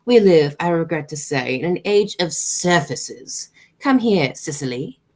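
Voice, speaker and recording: A woman's voice: low-pitched, animated, unhurried, darkish tone. A woman playing an imperious, snobbish English aunt in a radio comedy, pompous and declamatory, stumbling now and then over the words. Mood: suddenly charmed. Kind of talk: stage comedy as amateur radio play.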